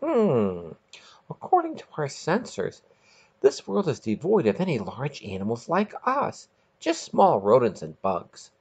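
A man voicing a brisk, authoritative female space captain who barks out orders in a short story reading. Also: mid-range voice, huge pitch swings, husky, pitched above the performer's own voice, heavily acted. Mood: puzzled. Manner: barking orders.

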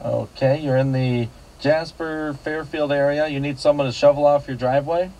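A man's voice: fairly high pitch, fairly even intonation, normal speed, mellow, highs cut off. A man hosting a local radio classifieds call-in show, politely repeating details back. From United States.

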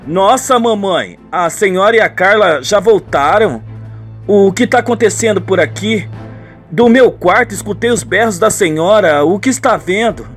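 A man's voice: high voice, animated, moderate pace, faint music behind. A man playing a disillusioned, indignant young adult son in a radio soap opera. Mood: confused.

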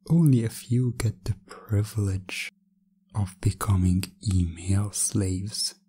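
A man narrating a scripted ASMR hypnosis track softly and deliberately, often slipping into a whisper, with measured pauses. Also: lowish voice, breathy edge. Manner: slow, soft, partly whispered, deliberate pauses.

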